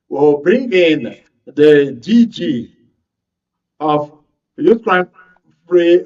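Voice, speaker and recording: A man with a Nigerian accent presenting live as host of a radio and television show. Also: a little high. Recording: distant mic, slightly muffled sound.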